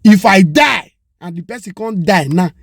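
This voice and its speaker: A man's voice: high voice, lively intonation, normal speed, vocal fry, breathy edge, no echo, studio quality. A Nigerian man with a Pidgin English accent giving a passionate commentary, firing off rhetorical questions and slipping into Yoruba. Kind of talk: opinion commentary monologue.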